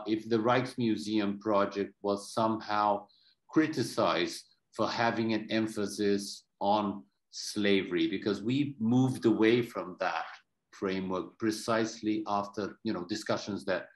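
A man in his fifties speaking reflectively as a curator on a panel, searching for phrases, over a video call in an echoey room. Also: low-pitched.

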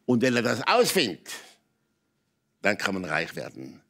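An older man from Switzerland speaks with a Swiss German accent in a fairly low voice. He tells personal stories in conversation, emphatically, in short halting phrases that often repeat. He is a guest in a television studio. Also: wildly swooping pitch, a bit bright. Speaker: talk show guest. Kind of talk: candid personal storytelling in conversation. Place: TV talk show studio with audience.

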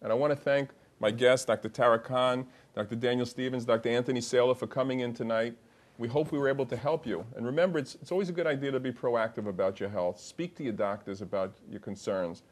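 An older man runs a live call-in health show from a TV studio. He talks in a chatty, joking way, restarts his sentences a lot, and his pitch sits in a plain middle range. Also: quick pace. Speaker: host of a call-in medical show. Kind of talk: casual live TV hosting. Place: TV studio set.

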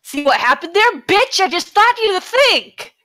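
Very high, bright-voiced brash woman. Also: very animated, moderate pace. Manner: sweary, brash. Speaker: podcast panelist reading parody songs. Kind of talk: casual comedic performance reading.

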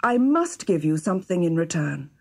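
A woman's voice: fairly low pitch, big pitch swings, normal speed. A woman voicing an earnest, easily flustered king of fifty in a children's storybook. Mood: grateful. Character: kindly fifty-year-old Persian king, easily flustered. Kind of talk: children's storybook character reading.

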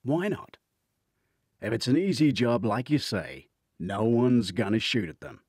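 A man's voice: medium pitch, expressive, unhurried, darkish tone, slightly rough, no echo, studio quality. A man voicing a businesslike, insistent female client on a phone call in an audiobook thriller.

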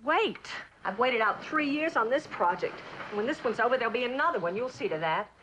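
An actress playing a bitter, neglected wife, plaintive and accusing, in mid-century TV drama style. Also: female voice, a little high, animated, a little fast, fairly bright tone. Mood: accusing, pleading. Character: neglected, bitter young wife, wounded and reproachful. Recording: dull, faintly noisy old television soundtrack.

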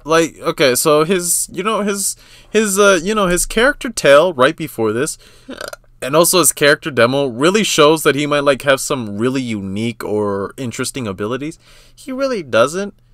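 A young man giving casual, excitable gamer reaction commentary, slangy and exclamatory, often repeating himself. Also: fairly high pitch, normal speed, no room sound, clean studio sound. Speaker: reaction video host.

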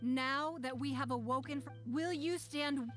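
A woman's voice: high voice, normal speed, fairly bright tone. An actress playing a poised, authoritative noblewoman leader in a video game, giving a formal, stately address. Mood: solemn, commanding. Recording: game music behind the voice.

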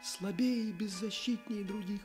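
An actress playing a tiny, sensitive, polite male ship's doctor in a radio play, expressive and plaintive. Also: mid-range voice, moderate pace, soft background music, close to an everyday voice. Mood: troubled, hurt. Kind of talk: Russian radio play acting.

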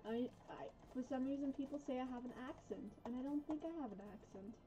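A woman from the USA chatting casually while playing a game, rambling and correcting herself, with soft r sounds left over from a past speech difficulty. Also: sits high, fast, lo-fi sound. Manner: rambling, self-correcting, hesitant. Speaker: gaming vlogger. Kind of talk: casual gameplay chat.

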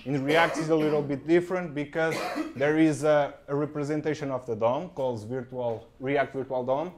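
A man's voice: a little high, steady tone, a little hiss or hum. Portuguese-accented young man giving tech talk. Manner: halting non-native English with frequent ums and okays. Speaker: conference speaker. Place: conference room with projector, indoors. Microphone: handheld mic.

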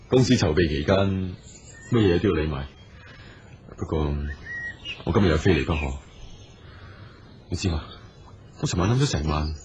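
A man's voice: deep voice, background noise, close to an everyday voice. A man playing an earnest, persistent businessman in a radio drama. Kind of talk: Cantonese radio drama acting. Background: music.

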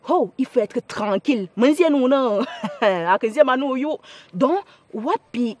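A woman's voice: high-pitched, lively intonation, a little slow, a little mellow, no room sound. A woman with a Cameroonian accent playing an evasive, pleading young gossip in a family drama. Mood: gossipy, reassuring.

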